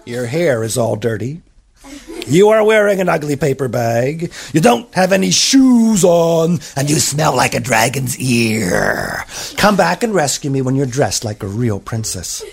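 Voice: medium pitch, very animated, a little slow, vocal fry, breathy edge, pitched below the performer's own voice, heavily acted. Storyteller doing a snooty, scornful storybook prince. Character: snobbish fussy young prince.

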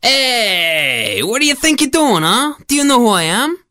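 A storyteller voicing a huge, bullying mountain goat who challenges people and makes drawn-out, boastful threats in a storybook tale. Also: medium pitch, big pitch swings, pushed and bright, slight background noise, pitched above the performer's own voice. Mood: challenging. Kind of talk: storybook character voice.

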